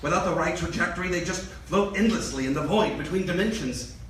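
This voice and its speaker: A man's voice: high voice, brisk, fairly bright tone. A man playing an earnest, exasperated scientist, the serious straight man of a comic sci-fi audio drama. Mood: urgent. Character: earnest, exasperated male scientist among lunatics. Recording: very echoey, distant, noisy.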